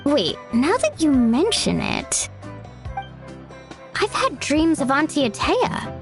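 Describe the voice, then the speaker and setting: Young woman, higher pitch, sincere, expressive, faint background music, video game. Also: takes it slowly, full character voice. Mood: wistful.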